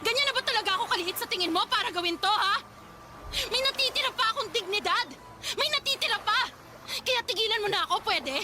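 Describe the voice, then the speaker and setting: Very high-voiced, indignant scorned Filipina. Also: female voice, expressive, quick pace, heavy background noise. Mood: hurt, defiant. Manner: indignant, confrontational, sarcastic. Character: angry young woman, wounded ex-lover, proud and scornful. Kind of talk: Filipino radio drama acting.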